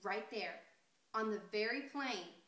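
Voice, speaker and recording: A woman reading a picture book aloud expressively and deliberately, putting questions to young listeners. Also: medium pitch, fairly bright tone. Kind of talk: expressive children's story read-aloud. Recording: roomy, echoey sound.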